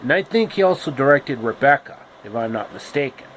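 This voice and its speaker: A man telling a story informally, with halting, deliberate phrasing and casual asides. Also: medium pitch, expressive, a bit bright, a little hiss or hum, poor audio. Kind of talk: informal, improvised storytelling with pop-culture asides.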